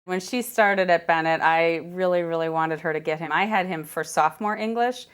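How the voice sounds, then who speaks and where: A woman's voice: on the low side, moderate pace, smooth. A middle-aged woman with an American accent, a parent speaking casually in an interview. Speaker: parent and former student.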